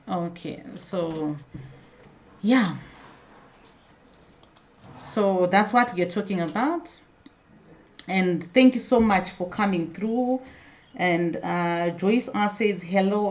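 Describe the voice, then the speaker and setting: A woman's voice: mid-range voice, slow pace, long pauses, a little mellow, lo-fi sound. A woman hosting a casual live stream, chatting to viewers, greeting them by name and often restarting her sentences. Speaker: hair care vlogger hosting a live stream.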